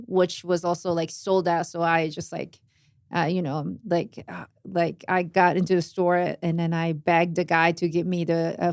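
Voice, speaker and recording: A woman's voice: on the low side, darkish tone, no echo, studio quality. A woman from Korea, a native Korean speaker, chatting casually in an interview as a jazz drummer, with many fillers and non-native phrasing.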